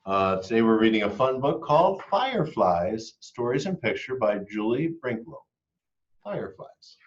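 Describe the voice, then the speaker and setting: A man reading a children's picture book aloud expressively, moving between hushed and emphatic delivery. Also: mid-range voice, very animated, moderate pace, muffled recording. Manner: dramatic, hushed and emphatic by turns. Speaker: read-aloud storyteller.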